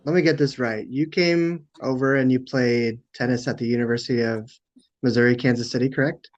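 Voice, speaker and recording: A man hosts a podcast interview in an easygoing, friendly manner, filling his pauses with ums. His voice comes through a slightly dull, muffled mic. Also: mid-range voice, fairly even intonation. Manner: friendly and easygoing, lots of ums and short backchannels. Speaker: podcast host.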